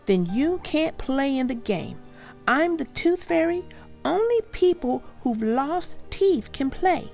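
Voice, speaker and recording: A high-pitched, bossy schoolgirl from a children's storybook, acted out by a woman. She taunts in an exaggerated, very animated way, like someone who loves to tease. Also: slow pace, gravelly, faint music behind, full character voice. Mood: taunting. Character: bossy, teasing schoolgirl playing tooth fairy. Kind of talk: children's storybook read-aloud.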